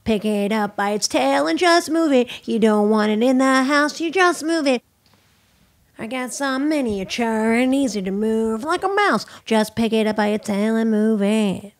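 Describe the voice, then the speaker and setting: A woman in her thirties, a podcast guest, chatting in a lively, teasing way, seated in a living room at a boom-arm studio mic. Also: moderate pace, no echo. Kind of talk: casual chat. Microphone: studio mic on boom arm, headphones.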